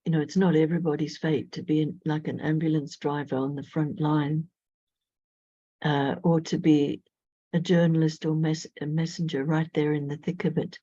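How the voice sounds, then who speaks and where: A woman speaking as an astrologer guest in an interview, thoughtful and measured. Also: sits low, level tone, warm tone, no room sound. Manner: thoughtful, measured, with frequent "you know". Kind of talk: reflective conversational interview. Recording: dull lo-fi audio.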